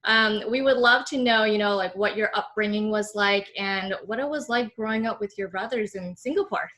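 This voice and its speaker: A woman's voice: medium pitch, moderate pace, a bit bright. A woman co-hosting a podcast, upbeat and welcoming as she opens the interview. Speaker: podcast co-host.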